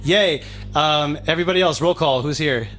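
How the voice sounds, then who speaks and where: A hoarse man hosting a podcast, chatting enthusiastically with guests into a handheld microphone indoors. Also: a little high, moderate pace, a bit bright. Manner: enthusiastic, many fillers. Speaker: podcast host. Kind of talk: casual interview, hosting. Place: indoor gathering room.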